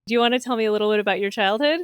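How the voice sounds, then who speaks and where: A woman's voice: mid-range voice, very fast, clear, resonant tone. A young woman hosting a podcast, chatting in a bubbly, giggly, upbeat way. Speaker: podcast host. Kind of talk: casual interview chat.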